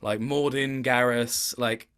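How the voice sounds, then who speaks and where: A man's voice: medium pitch, fairly even intonation, slow, a bit bright, clean tone. A man in his late twenties talking casually as an interview guest, rambling and correcting himself as he tells stories. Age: late 20s. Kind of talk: casual gaming interview chat.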